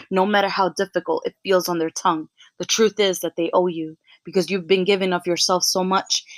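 A young woman hosting a podcast, softly introducing and then reciting her own spoken word poem in a measured way. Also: fairly low pitch, no echo. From United States. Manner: soft-spoken, frequent ums, measured poetic delivery. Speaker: podcast host.

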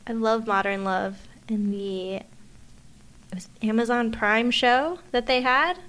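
A young woman co-hosts a casual podcast. Her voice is smooth and clear, sitting a little high, and her delivery is friendly and chatty. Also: measured pace, fairly bright tone. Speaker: podcast co-host.

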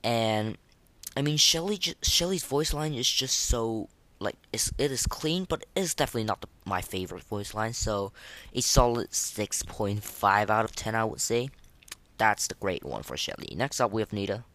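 A man hosting a casual, unscripted gaming podcast, speaking in a halting, repetitive, informal way. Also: lowish voice, animated, a bit bright. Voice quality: voice that cracks now and then. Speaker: podcast host.

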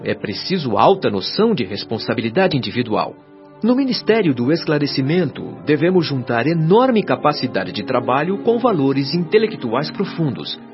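A Brazilian actor playing a patient, earnest male spirit guide in a radio drama, explaining in formal, measured, articulate phrases. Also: on the high side. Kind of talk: radio drama acting, didactic dialogue. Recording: dull lo-fi radio drama, music behind. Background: music.

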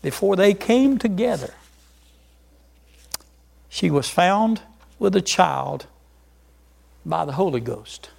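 A man's voice: sits high, sing-song, slow pace, vocal fry, pauses often, a little mellow, breathy edge. An older man preaching a folksy sermon, repeating words and chuckling as he goes.

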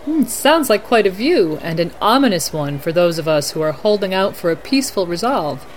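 A woman hosting as a news anchor, reading a scripted bulletin in a measured, formal newsreader delivery. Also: lowish voice, big pitch swings, slight background noise. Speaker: podcast host as news anchor. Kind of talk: scripted mock news broadcast.

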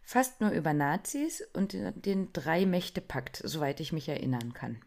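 A woman's voice: deep voice, very animated, moderate pace, a little mellow. A woman co-hosting a podcast, chatty and casual, with frequent fillers and laughter. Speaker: podcast co-host. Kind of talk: casual chat.

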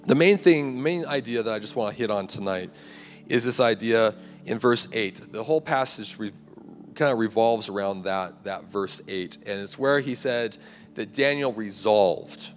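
Raspy male preacher in a hall. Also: on the low side, normal speed. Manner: conversational preaching with rhetorical "right?" and "like". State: hoarse, clearing throat. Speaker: campus ministry preacher. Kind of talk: sermon. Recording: live hall recording, long reverb, faint music.

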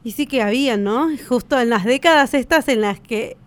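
High-pitched Argentine woman podcaster. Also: moderate pace. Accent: Argentine Spanish. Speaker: podcast co-host. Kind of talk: casual conversational discussion.

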